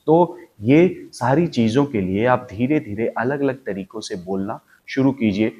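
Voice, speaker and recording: Man, Indian accent, medium pitch, acting coach, instructional delivery, emphatic, repetitive phrasing. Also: expressive, unhurried, mellow, lo-fi sound. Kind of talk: instructional talk to viewers, demonstrating voice techniques.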